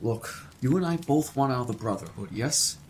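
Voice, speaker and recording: A man acting a kindly, fretful college professor in an audio drama, expressive and fatherly. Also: medium pitch, moderate pace, a little hiss or hum, close to an everyday voice. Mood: resolved.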